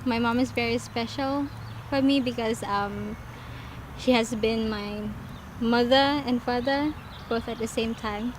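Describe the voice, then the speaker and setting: Young woman, daughter, higher voice, warm and giggly, off-mic with background noise. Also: fairly even intonation, measured pace. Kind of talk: casual interview.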